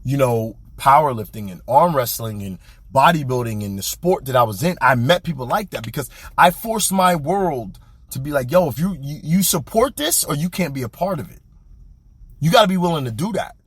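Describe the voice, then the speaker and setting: A man's voice: fairly high pitch, normal speed, breathy edge, no echo. A young man, hosting a podcast and giving a blunt, colloquial motivational talk. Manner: animated, preachy, blunt and colloquial. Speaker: podcast host. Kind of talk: motivational monologue.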